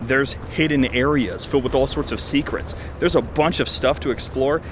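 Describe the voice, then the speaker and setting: A man's voice: medium pitch, normal speed, background noise. A man in his twenties giving casual, rambling game commentary as a video host, enthusiastic and full of jokey asides. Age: 20s. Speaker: video host reviewing fan games.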